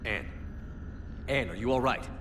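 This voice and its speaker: An actor playing a resolute military space commander in a science fiction game, blunt and commanding. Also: male voice, mid-range voice, unhurried, natural voice. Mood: focused concern. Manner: terse, commanding. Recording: echoey, with loud vehicle-like ambient noise.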